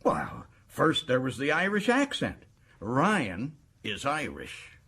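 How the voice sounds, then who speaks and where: An actor playing a folksy, self-important American prison governor in a radio drama, chatty and stammering with excitement. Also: male voice, medium pitch, takes it slowly, slightly rough, full character voice. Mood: matter-of-fact, musing.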